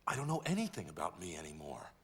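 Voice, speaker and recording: An actor playing a troubled, wealthy gentleman hero in a cartoon, earnest and dramatic. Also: sits low, wildly swooping pitch, fast talker, breathy edge, full character voice. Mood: distressed, confused. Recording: musical score behind cartoon dialogue.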